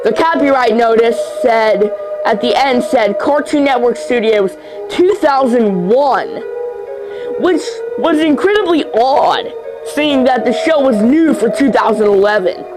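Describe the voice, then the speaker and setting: An amateur narrator reading a horror story aloud in a halting way, tripping over words and repeating phrases. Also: medium pitch, lively intonation, low-quality recording. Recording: music under the voice. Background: music.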